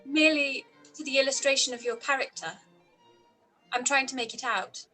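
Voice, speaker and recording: Woman playing bright, high-voiced witty young gentlewoman. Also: moderate pace, soft background music, rough recording. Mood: probing. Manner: arch, pointed, formally phrased. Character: witty, proud young gentlewoman, sparring archly. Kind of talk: period drama live radio-play reading.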